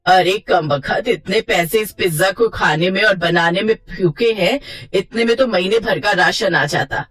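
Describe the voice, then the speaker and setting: Lady doing strict saas in a kids story, sarcastic, exasperated, lower voice, naggy. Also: unhurried, a bit bright, slightly rough. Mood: furious. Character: stern, scolding mother-in-law, middle-aged or older woman. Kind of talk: acted moral story for children.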